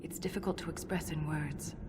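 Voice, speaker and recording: An actress playing an earnest, scholarly dwarf woman in a video game, measured and solemn as she explains. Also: deep voice, steady tone, noisy background, natural voice. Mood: reverent. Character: earnest scholarly female dwarf relic-hunter, reverent and intense. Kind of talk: video game dialogue acting. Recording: long cavernous reverb, music underneath. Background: game music.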